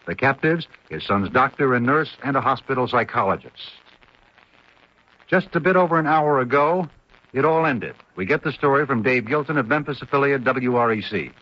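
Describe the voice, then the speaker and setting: A man reporting news in a scripted network radio newscast with a slightly husky voice. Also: medium pitch, animated, moderate pace, dry recording. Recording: dull old radio broadcast.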